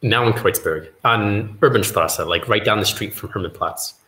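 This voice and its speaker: A man's voice: fairly low pitch, normal speed, sharp tone. Hesitant young man on a roomy video call. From New York, USA. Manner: hesitant, repeats words while thinking. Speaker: meetup participant. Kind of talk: casual video-call chat. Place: bright room at home, indoors. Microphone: video call laptop mic. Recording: roomy echo.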